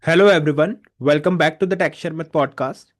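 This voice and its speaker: A man's voice: on the high side, fairly quick. A man hosting an interview podcast in a polite, formal way. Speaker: podcast host.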